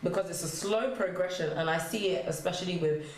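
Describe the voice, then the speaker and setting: A woman's voice: fairly low pitch, fairly quick. A young adult woman speaking as a guest in a casual panel discussion, illustrating her points with acted-out quotes. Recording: very echoey room, faint noise.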